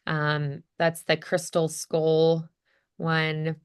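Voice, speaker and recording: A woman's voice: low-pitched, completely flat delivery, very slow, smooth. A woman teaching voice acting in a casual one-on-one chat, thinking aloud hesitantly and saying "like" often.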